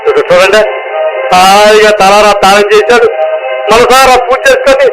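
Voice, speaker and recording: An actor playing a simple, devoted servant in a radio play, coaxing and deferential in rustic colloquial speech. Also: male voice, high-pitched, moderate pace, music in the background, low-quality recording. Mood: humble, earnest.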